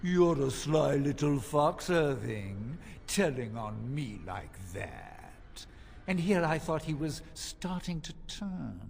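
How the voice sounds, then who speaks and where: An actor playing a pompous, mock-polite mage turned abomination, a theatrical fantasy game villain, in long reverb. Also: male voice, a little high, sing-song, takes it slowly, vocal fry, a little mellow, slight background noise, full character voice. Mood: sly menace.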